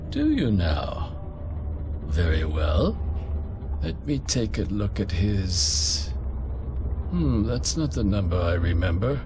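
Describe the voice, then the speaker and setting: An actor playing a dry, haughty debt clerk for a trading company in a video game, formal and sardonic. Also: male voice, low voice, very animated, slow, pauses often, full character voice. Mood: dry, smug. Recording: game music over the voice.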